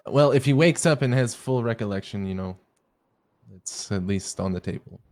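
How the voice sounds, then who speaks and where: A man in his twenties roleplaying, speaking calmly and reassuringly with some hesitation. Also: on the low side, normal speed, no room sound. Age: 20s. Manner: calm, reassuring, a bit hesitant. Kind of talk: in-character roleplay chat.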